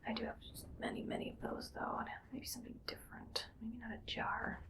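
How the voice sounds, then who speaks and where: A woman playing a bossy, musing female mad scientist in a whispered ASMR roleplay, speaking in a breathy, clinical, quietly menacing way. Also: close to an everyday voice. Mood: pondering, detached. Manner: slow musing, clinical, domineering. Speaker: ASMR performer.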